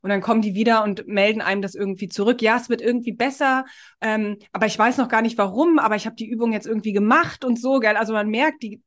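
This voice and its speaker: A woman's voice: a little high, quick pace. A woman hosting a podcast, talking in an upbeat, encouraging, chatty way. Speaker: podcast host. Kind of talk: casual podcast interview.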